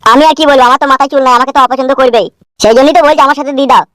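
Woman doing a whining, fast-talking lovesick cartoon boy. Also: high voice, a bit bright, no echo, clean studio sound. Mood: sulky insisting. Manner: insistent, whining pleas. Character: stubborn, lovesick boy pleading to marry in cartoon.

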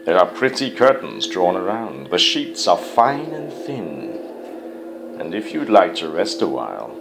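A man voicing a cunning male spider in a storybook poem, flattering and wheedling with courteous charm. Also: low-pitched, measured pace, vocal fry, exaggerated voice. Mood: luring. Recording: music behind the voice.

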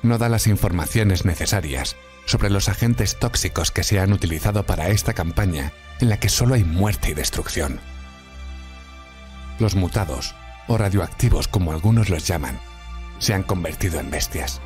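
A man's voice: sits low, lively intonation, moderate pace. A man playing a grave military narrator briefing apocalypse volunteers in scripted dramatic narration. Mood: grave, ominous. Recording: loud music under the voice.

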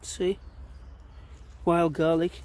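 A man's voice: on the high side, little pitch movement, drawn-out words, a little mellow. A middle-aged man talking casually outdoors in woodland, pointing things out as he digs, filmed on a handheld phone. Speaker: forager. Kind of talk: casual walk-and-talk foraging demo.

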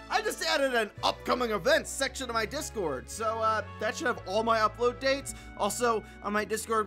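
A woman's voice: mid-range voice, fairly bright tone. A woman hosting her channel with a casual, chatty introduction. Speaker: channel host and voice actor.

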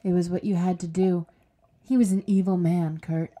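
A woman's voice: fairly low pitch, fairly even intonation, normal speed, dark tone, lightly acted. A woman playing a bitter, intense, commanding captive, kept alive without a body, in an audio horror drama. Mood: coldly soothing.

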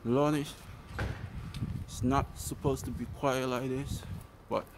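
A man vlogs as he walks down a street, talking slowly in short, halting bits. His voice sits at an average pitch, and the delivery is casual and unscripted. Faint traffic noise runs behind him outdoors. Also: steady tone. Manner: halting, speaks in short fragments. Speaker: vlogger giving a walking tour. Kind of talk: casual, unscripted walking commentary. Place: outdoors walking along a residential street.

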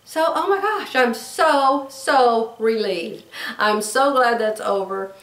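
An older woman with a drawl, hoarse, telling stories and chatting casually to camera as a sewing vlogger. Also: a little high, slow pace, a bit bright, far from the mic. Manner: chatty storytelling, acts out quoted voices. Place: bedroom sewing room, indoors. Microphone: camera across the room. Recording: roomy, from off mic.

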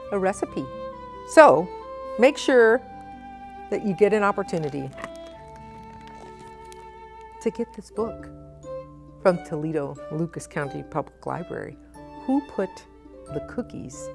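A middle-aged woman presenting a children's storytime, speaking expressively and with deliberate phrasing for young listeners. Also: lowish voice, lively intonation, a little mellow, faint music behind. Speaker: guest storytime reader, nonprofit president. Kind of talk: children's picture book read-aloud. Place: indoor storytime set at a library.

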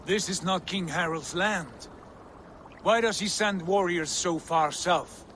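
A man's voice: high voice, takes it slowly, a bit bright, slight background noise. A man playing a proud, ambitious Viking warrior prince in a video game, grand and commanding in delivery. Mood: challenging. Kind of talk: dramatic video game acting.

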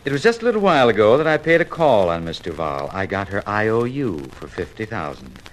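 An American actor playing a smug, double-crossing crook in a 1950s radio drama. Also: male voice, on the low side, big pitch swings, a little fast, rough recording. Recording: old radio recording, faint noise.

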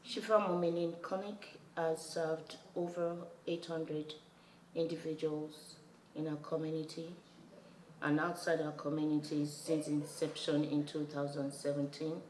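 Low, even-voiced middle-aged woman. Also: measured pace. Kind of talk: scripted appeal to camera. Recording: roomy echo, faint background noise.

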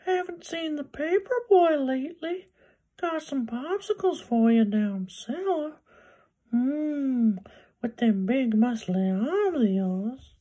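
Elderly cartoon creep: high, slow, soft-toned and lecherous. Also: male voice, expressive, heavily acted. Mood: creepy, coaxing.

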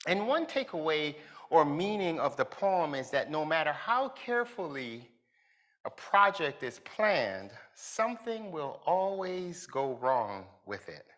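A middle-aged man preaching as a pastor from a pulpit in a large church hall, speaking deliberately and building emphasis. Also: on the high side, sing-song, a bit bright. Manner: slow, deliberate delivery with rising emphasis. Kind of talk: sermon.

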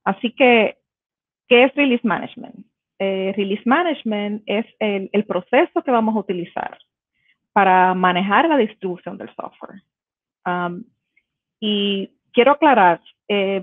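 A woman's voice: medium pitch, vocal fry, no room sound. A woman with a Puerto Rican accent giving a conference talk over a video call, explaining step by step with many fillers and restarts. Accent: Puerto Rican Spanish. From Puerto Rico. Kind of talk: conference presentation. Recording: tinny phone-like video call audio.

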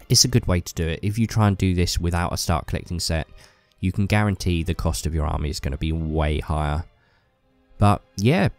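Deep male vlogger, stuffy cold, enthusiastic and chatty. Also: a little mellow, smooth, dry recording. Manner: enthusiastic, rambling with fillers. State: bunged up with a cold. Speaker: YouTuber. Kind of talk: casual hobby vlog talk.

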